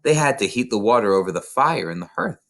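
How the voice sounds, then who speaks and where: A man voicing a gentle, earnest woman schoolteacher in a children's storybook. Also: mid-range voice, lively intonation, fast talker, gravelly, faint music behind. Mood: matter-of-fact.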